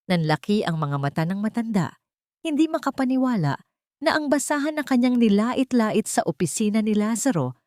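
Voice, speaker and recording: A Filipino woman narrating a scripted love story in a measured, dramatic storytelling manner. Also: lowish voice, darkish tone, no echo, clean studio sound.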